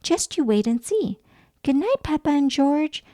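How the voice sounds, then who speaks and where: A gentle, reassuring mother pig from a children's storybook, as a woman reader puts her on. She explains patiently in a high, lilting voice with lots of melody. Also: sing-song, a little slow, no echo. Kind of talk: children's storybook read-aloud.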